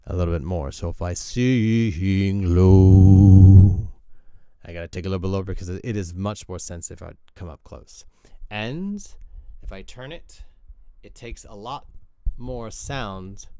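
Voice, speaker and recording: A man with a baritone voice hosting a casual livestream lesson, chatting while he demonstrates microphones and moves closer to and away from them. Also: unhurried, warm tone, clear, resonant tone, dry recording, studio quality. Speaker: cello teacher hosting a live stream. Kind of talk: casual livestream demo talk. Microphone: switches between several desk microphones.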